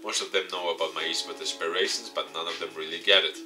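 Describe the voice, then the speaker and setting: A man's voice: low voice, fairly even intonation, quick pace, pushed and bright. A man, a gaming YouTuber, reads visual novel text aloud with his own asides, stumbling over words at times. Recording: music playing behind the voice.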